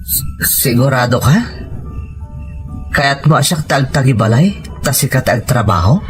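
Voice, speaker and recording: A man's voice: mid-range voice, sing-song, a little slow, faint music behind. A man playing a hesitant, worried husband in a domestic drama, questioning and reluctant. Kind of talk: domestic audio drama dialogue.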